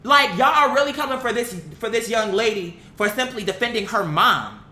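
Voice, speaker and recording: A woman's voice: fairly high pitch, animated, normal speed, sharp tone. A woman giving a casual, opinionated commentary rant, blunt, profane and indignant, reading comments aloud and repeating phrases for emphasis. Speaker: online commentator reacting to viewer comments. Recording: echoey, roomy sound.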